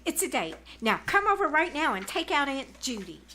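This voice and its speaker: A woman's voice: high voice, sing-song, moderate pace, pushed and bright, heavily acted. A woman playing a bossy, scheming teenage girl in old-time radio comedy style, emphatic and wheedling. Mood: coaxing. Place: live on a small theater stage.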